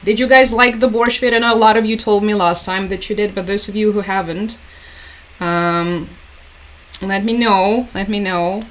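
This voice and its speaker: A woman's voice: medium pitch, steady tone, moderate pace. A woman whose first language is Russian, hosting a live stream where she comments on the news and greets her chat in a resigned, hesitant way, with many fillers and restarts. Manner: resigned, repeats "unfortunately" and "as always". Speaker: livestream host.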